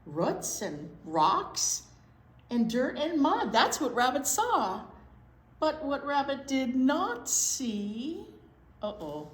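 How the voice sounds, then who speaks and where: A woman hosting a children's storytime, reading aloud expressively with drawn-out words and asides to her young listeners. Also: sits high. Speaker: storytime host, teacher. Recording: roomy echo, clear background noise.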